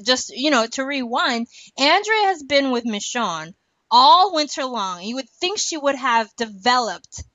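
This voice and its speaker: A woman with a fairly high, bright voice hosts a casual podcast. She is emphatic and opinionated, pressing her points with exasperated questions. The sound is close and dry. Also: sing-song, a little slow, no echo, poor audio. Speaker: podcast host.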